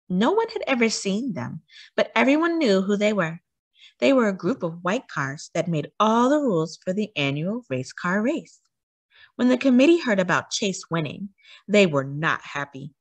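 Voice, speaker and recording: Female children's storyteller, animated and emphatic read-aloud, very varied intonation, dry sound. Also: medium pitch, no room sound.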